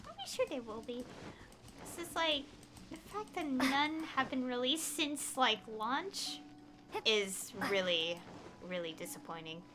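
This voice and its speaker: A woman's voice: sits high, very animated, unhurried. A woman game streamer chatting casually and rambling, with an exasperated, opinionated, pleading emphasis. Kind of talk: casual rambling livestream chat.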